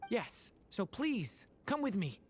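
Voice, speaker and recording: A man voicing an earnest, gentle youth in a video game, sincere and reassuring. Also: high voice, big pitch swings, slow pace, slight background noise, heavily acted. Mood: earnest, warm. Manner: earnest, soft-hearted, reassuring. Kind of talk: dramatic video game voice acting.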